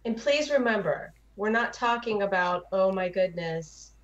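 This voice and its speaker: A woman's voice: medium pitch, lively intonation, a little slow. A woman chatting casually as a panelist on a gaming show, over dull lo-fi voice chat audio.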